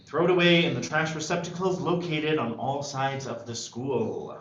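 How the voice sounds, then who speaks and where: A man reading the part of an idealistic, easily frustrated high school senior at a screenplay table read. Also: fairly even intonation, moderate pace, a bit bright, natural voice. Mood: bright announcer. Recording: dull echoey room, noisy.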